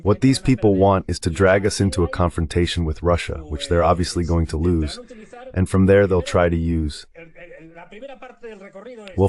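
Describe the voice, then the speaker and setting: A deep male voice delivers translated political commentary as a guest analyst. The phrasing is conversational and emphatic, staying low in pitch throughout. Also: a little mellow.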